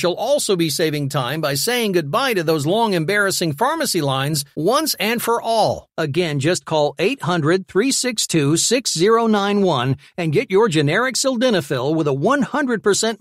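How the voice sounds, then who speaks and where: Ad read: upbeat, emphatic, salesy, animated pitch, dry close sound. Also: dry recording. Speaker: commercial announcer. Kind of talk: read radio ad.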